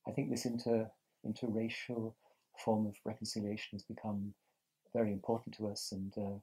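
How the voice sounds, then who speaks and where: An older man from England speaks low and gravelly, his tone dark and husky. He answers slowly and reflectively, restarting his sentences as he looks for the right words. He was recorded outdoors on a terrace. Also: level tone, low-quality recording. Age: 60s. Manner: thoughtful, halting, with many restarts. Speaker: interviewee.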